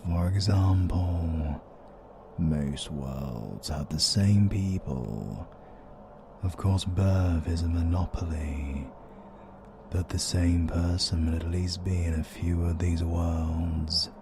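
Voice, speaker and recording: A British man playing an ominous, courteous interdimensional being in an audio roleplay, speaking in a formal, measured, detached way. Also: deep voice, background noise. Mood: solemn, mysterious.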